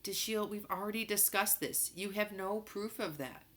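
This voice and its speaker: A woman's voice: mid-range voice, normal speed, a bit bright, voice pushed up, close to an everyday voice. A woman voicing a stern but patient mother in a storybook, firm and admonishing. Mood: weary, firm.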